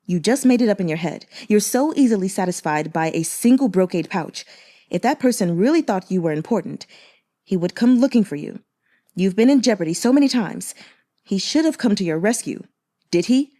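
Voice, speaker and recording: A woman's voice: mid-range voice, expressive, a little fast, dark tone, close to an everyday voice. A woman voicing an aloof, irritable young nobleman in an audiobook, speaking in a curt, accusatory, sneering manner. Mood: hurt, angry.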